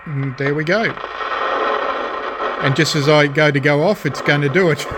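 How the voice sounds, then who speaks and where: A man's voice: on the high side, measured pace, background noise. A man at his workbench, casually talking through a radio repair, thinking aloud with fillers and restarts. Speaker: radio restorer. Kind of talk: casual repair vlog narration. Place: home workshop at a workbench. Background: rain storm outside.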